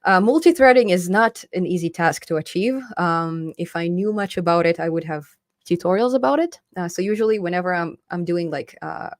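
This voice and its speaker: A young woman in her twenties hosts a live stream in a relaxed, talkative way, reading comments and laughing often. Her voice sits a little low for a woman. The sound is dry and close, with no room echo. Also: fairly quick. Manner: chatty and upbeat, laughs often. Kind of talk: casual chat reading viewer comments.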